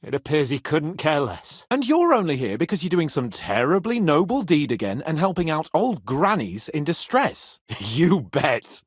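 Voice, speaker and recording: Haughty young nobleman, wildly swooping pitch. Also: big pitch swings, normal speed, husky, no room sound, clean studio sound. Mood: wry, frank. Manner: haughty, sardonic, emphatic. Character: haughty, cocky young medieval nobleman, brash and foul-mouthed. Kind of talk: video game character dialogue.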